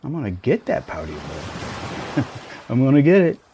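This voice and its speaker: Male actor as shrunken boyfriend for intimate audio roleplay: affectionate tease, slow delivery, lively swooping intonation. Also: medium pitch, a little hiss or hum. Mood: playful, teasing. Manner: playful, teasing, affectionate, theatrical exclamations. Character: tiny shrunken boyfriend, playful, teasing, doting. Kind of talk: intimate scripted roleplay monologue, ASMR.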